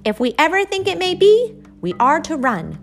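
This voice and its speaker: A woman's voice: very animated, normal speed, vocal fry, faint music behind, exaggerated voice. A woman doing small earnest mouse children. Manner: high, earnest recital of lessons. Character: timid young meadow mice children, eager pupils.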